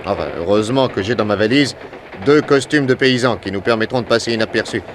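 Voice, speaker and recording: An actor playing a shrewd, brisk and cautious private detective in a French 1960s radio drama. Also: male voice, on the low side, natural voice. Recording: noisy old radio recording.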